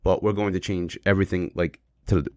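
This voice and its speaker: Male podcast host, rapid and emphatic, repetitive casual opinion talk, dry close sound. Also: sits low, steady tone, darkish tone, no room sound. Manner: emphatic, repeats words and phrases.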